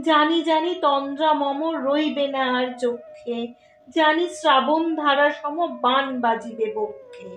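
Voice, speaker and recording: A woman speaking as a poetry reciter, with a solemn, measured and expressive dramatic delivery. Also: sits high, slight background noise. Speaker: poetry reciter and channel host. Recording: echoey voice over faint music.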